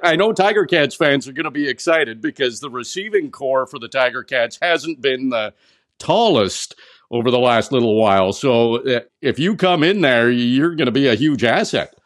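A man hosting a sports radio interview in a conversational way, pausing now and then as he frames his questions. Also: medium pitch, lively intonation. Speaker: sports show host, interviewer.

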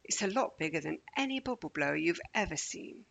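Female meditation guide for children. Soft-edged breathy tone with vocal fry, measured and soothing delivery. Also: fairly low pitch, expressive. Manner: gentle, measured, deliberate pauses.

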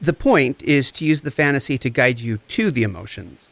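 A man's voice: mid-range voice, animated, moderate pace, creaky. A man acting the part of a compassionate recovery coach in an audio drama, tender, soothing and earnest. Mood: encouraging, instructive. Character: compassionate, gentle, encouraging recovery coach and friend.

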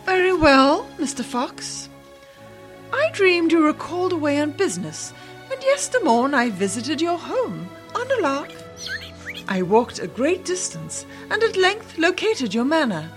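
Bold young bride, radio fairy tale. Very high, animated voice, theatrical suspense, light music underneath. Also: female voice, measured pace. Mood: demurely distressed. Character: bold young bride, demure yet defiant storyteller.

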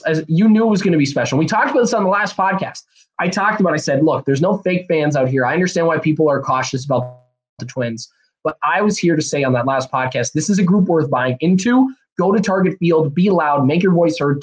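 A young man, hosting a solo podcast in a rambling, energetic way, a bit hoarse and nasal from a cold. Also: on the high side, low-quality recording. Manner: rambling, energetic, many fillers and restarts. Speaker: podcast host. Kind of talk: casual solo podcast talk.

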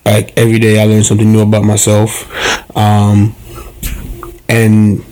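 A man's voice: lowish voice, monotone, unhurried, warm tone, smooth, low-quality recording. A man co-hosting a podcast in casual chat, joking dryly in a laid-back way. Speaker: co-host. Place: bedroom.

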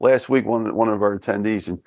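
Podcast host, male, Philadelphia accent, gravelly low voice, halting repeats, dry sound. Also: darkish tone, dry recording. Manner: halting, repeats words, laughs often. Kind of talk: casual interview.

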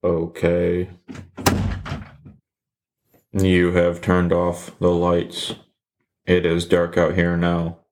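A man voicing a deadpan, literal-minded killer who talks in a flat, robotic monotone. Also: low voice, very slow, pauses often, exaggerated voice. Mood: flat menace.

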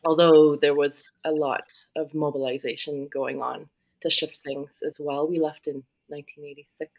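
A middle-aged woman from South Africa, a social worker and yoga facilitator, speaking reflectively as an interview guest with frequent pauses. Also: low-pitched, little pitch movement, creaky, muffled recording. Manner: thoughtful, searching, many pauses and ums.

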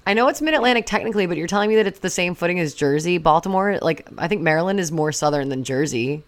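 Low young woman co-host rambling on, lots of like and you know. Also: animated, fast. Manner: rambling, self-deprecating, chatty. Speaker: podcast co-host. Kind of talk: casual podcast chat.

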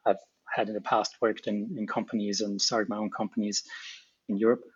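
A man's voice: on the low side, breathy edge, no room sound, lo-fi sound. A middle-aged man from Austria with an Austrian accent, a native German speaker, explaining his work as a guest in an interview. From small Alpine town in Austria. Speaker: guest, robotics researcher and company founder.

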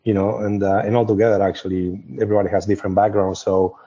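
Low husky man with an Argentine accent. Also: flat delivery, fast, mellow. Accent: Argentine Spanish. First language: Spanish. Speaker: company co-founder, interview guest. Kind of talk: conversational business interview. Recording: dull, muffled lo-fi audio.

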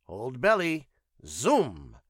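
A man voicing a gruff, sly winged alien pilot who speaks in terse, broken English bursts for an audio story. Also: medium pitch, huge pitch swings, slow, a bit bright, dry recording, studio quality, exaggerated voice. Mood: commanding.

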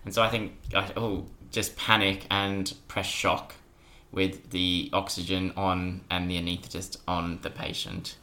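A young man chats as a podcast guest. His voice is deep yet bright and sharp in tone. He tells anecdotes in a loose, informal way. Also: level tone, measured pace. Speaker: podcast guest, junior doctor. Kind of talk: casual anecdotal storytelling.

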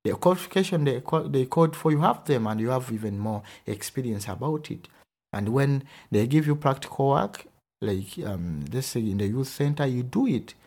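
A man's voice: mid-range voice, expressive, normal speed, a little mellow. A man from Uganda with a Ugandan accent, whose first language is Luganda, talking earnestly in a podcast conversation with frequent restarts. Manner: earnest, emphatic, with hesitant restarts.

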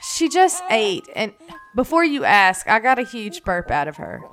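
A woman's voice: fairly high pitch, very animated, unhurried, over music, low-quality recording. A woman playing a tender young mother in an audio drama. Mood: matter-of-fact.

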